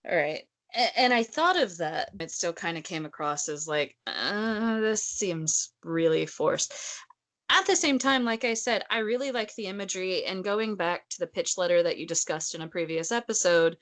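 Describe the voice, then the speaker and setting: A woman's voice: low voice, fairly bright tone. A woman co-hosting a casual book discussion, talking in a blunt, emphatic, sarcastic way. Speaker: podcast co-host discussing a novel.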